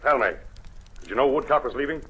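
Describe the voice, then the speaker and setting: An actor playing a stern, exasperated chief of detectives, brusque and sarcastic, in 1940s radio drama style. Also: male voice, medium pitch, fast talker, bright, forceful tone, husky. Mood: angry. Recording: noisy old radio recording.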